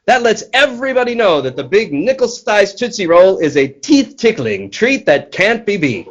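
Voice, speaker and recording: A man's voice: high-pitched, very animated, normal speed, lo-fi sound. An actor playing an eager, folksy radio sponsor announcer in 1940s style, with a bouncy, theatrical salesman's delivery. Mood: eager, selling. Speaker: announcer and circus owner actor.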